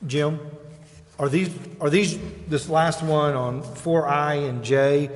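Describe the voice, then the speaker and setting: A man's voice: on the high side, steady tone, slow pace. An American man chairing a formal meeting, reading agenda items and procedure in an echoing chamber. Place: indoor council chamber.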